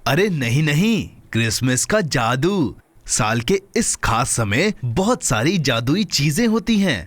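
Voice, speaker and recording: A man voicing a kindly, encouraging companion to a young boy in a children's cartoon dub, reassuring and expressive. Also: medium pitch, takes it slowly, dry recording, full character voice.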